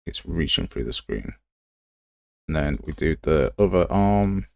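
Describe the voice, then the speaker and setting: A man's voice: a bass voice, expressive, measured pace, creaky, darkish tone, dry recording. A man giving a casual live tutorial, talking to himself as he works and sounding self-deprecating. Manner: muttering to himself while working. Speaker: game development livestreamer.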